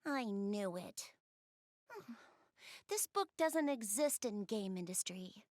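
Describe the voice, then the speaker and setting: A woman playing a gentle, shy, bookish young girl in video game dialogue, soft-spoken and earnest. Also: mid-range voice, big pitch swings, takes it slowly, dry recording, studio quality. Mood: eager, polite. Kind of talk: video game cutscene dialogue, addressing the listener.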